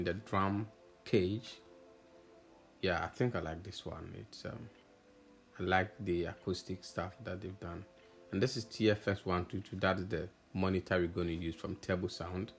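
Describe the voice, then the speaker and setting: Deep-voiced man, gravelly, casual walkthrough. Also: fairly even intonation, unhurried. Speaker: audio installer narrating own project video. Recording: music playing behind the voice. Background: background music.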